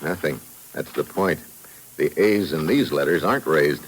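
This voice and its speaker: An actor playing a tough, shrewd investigator in a 1950s radio thriller, with clipped, matter-of-fact delivery. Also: male voice, extremely low pitch, normal speed. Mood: probing, brisk. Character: tough, shrewd male investigator narrating his own case. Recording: noisy old radio recording.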